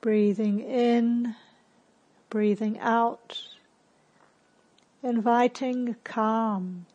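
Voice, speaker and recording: Woman meditation teacher, really slow talking, calm, lots of long pauses, voice a bit on the high side. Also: lo-fi sound. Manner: calm, unhurried, gently instructing with long pauses. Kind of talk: guided meditation.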